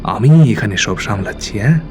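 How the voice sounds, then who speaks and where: A man acting a low, cold schemer. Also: animated, moderate pace, darkish tone, natural voice. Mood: quietly manipulative. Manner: controlled, insinuating. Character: cold, scheming male avenger manipulating his victims. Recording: music behind the voice.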